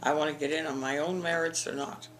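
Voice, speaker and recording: A woman's voice: deep voice, steady tone, fast talker, slight background noise. An older woman from the eastern United States, telling her school memories in an oral history interview, rambling with frequent restarts. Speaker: interviewee, alumna.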